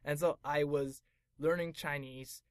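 A man's voice: fairly high pitch, steady tone, a little slow, dry recording, clean studio sound. A man from Taiwan who works as an actor, chatting casually as an interview guest and laughing easily.

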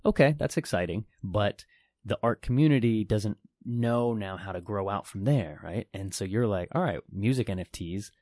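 A man's voice: lowish voice, moderate pace, a little mellow, no echo, studio quality. A man hosting a podcast interview, effusive and enthusiastic. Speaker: podcast host.

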